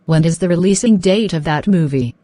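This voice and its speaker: A woman playing a polite, eager young woman in a scripted cartoon dialogue for English learners, asking and answering in a deliberate way. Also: fairly low pitch, mellow, no room sound, natural voice. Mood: polite, interested.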